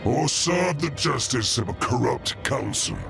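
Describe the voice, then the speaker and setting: An actor playing a grand, bitter demon lord who tempts and commands in a theatrical, gravelly oratory for a video game. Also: male voice, mid-range voice, animated, unhurried. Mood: grand, commanding. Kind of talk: dramatic video game voice acting. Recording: music under the voice.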